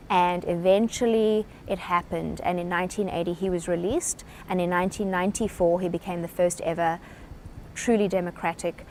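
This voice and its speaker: A woman with a South African accent speaks in a somewhat low voice, measured and earnest as she explains. A faint low rumble sits under the recording. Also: darkish tone. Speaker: presenter. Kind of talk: short talk to camera on leadership.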